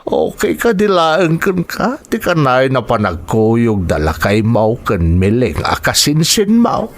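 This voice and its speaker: A man's voice: mid-range voice, sing-song, slow. A man playing an insistent, gossiping elder neighbour who gives earnest warnings in an audio drama. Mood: hesitant, probing. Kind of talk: amateur radio-style drama acting.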